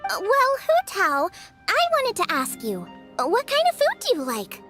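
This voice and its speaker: A woman's voice: very high voice, wildly swooping pitch, moderate pace, music in the background, heavily acted. A woman voicing an eager, earnest young female chef who gets a little flustered.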